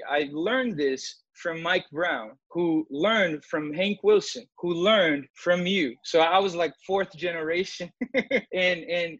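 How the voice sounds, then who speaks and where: Brazilian-accented man, animated and lo-fi. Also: high-pitched, no echo. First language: Portuguese. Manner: animated, laughing, with restarts. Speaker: church musician guest. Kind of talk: storytelling interview answer.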